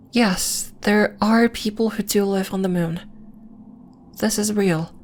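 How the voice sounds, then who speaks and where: Celestial female lunarian healer played by a woman, ASMR audio drama. Slow, measured, soothing, slightly superior; noticeable ambient noise behind the voice. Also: mid-range voice, natural voice. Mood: calm, reassuring. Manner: soothing, measured, formal, faintly condescending. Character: gentle, lofty female lunarian healer, celestial moon being. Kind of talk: ASMR roleplay, scripted, addressed to the listener.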